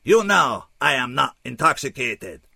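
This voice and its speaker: A man's voice: medium pitch, sing-song, vocal fry, bright, forceful tone, breathy edge, no echo, voice pushed up, full character voice. A man voicing a tall, anguished alien scout who speaks formally and dispassionately in an odd, unplaceable accent, with a pained tone. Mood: weary, formal. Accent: odd, unplaceable foreign accent. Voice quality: pained, strained. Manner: stiff, formal, dispassionate, slow and deliberate.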